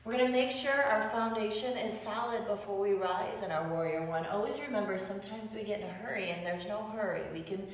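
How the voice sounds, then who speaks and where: A raspy-voiced woman leads a beginner yoga class with playful, encouraging cues, sounding tired after many classes. Her voice comes from well away from the mic. It rings through a very echoey room with a long, trailing reverb. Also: mid-range voice, a little fast, few pauses, recorded from a distance. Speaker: yoga instructor. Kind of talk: guided yoga class instruction.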